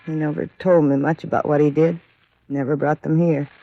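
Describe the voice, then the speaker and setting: Creaky-voiced, grieving bitter widow. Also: female voice, sits low, little pitch movement, a little fast, dry recording. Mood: bitter, upset. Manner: plaintive, plain uneducated speech. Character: grief-stricken working-class widow, bitter and defensive. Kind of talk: 1950s radio drama acting. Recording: dull vintage radio recording.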